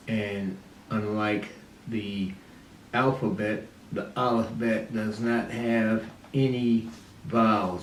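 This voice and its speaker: A man with a slightly low voice gives a religious language lesson as a series host. He speaks very slowly and deliberately, breaking his explanations into short, halting phrases. The sound is roomy, with the microphone at some distance. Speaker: host and teacher of a Bible and Hebrew series. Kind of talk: religious lesson on the Hebrew alphabet. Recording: roomy, distant mic with faint noise.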